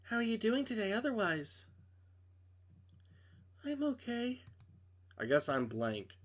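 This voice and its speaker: Man voicing a casual, higher-pitched young-sounding texter. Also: wildly swooping pitch, slow pace, heavily acted. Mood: casual, flat.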